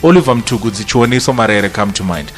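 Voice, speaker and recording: Probing radio co-host. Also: male voice, lowish voice, fast, faint music behind. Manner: probing questions, lively interjections. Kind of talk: radio talk show interviewing. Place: radio studio.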